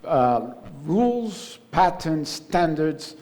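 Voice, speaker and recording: A man moderating a conference panel, asking a long, halting question. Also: on the high side, very animated, a little mellow.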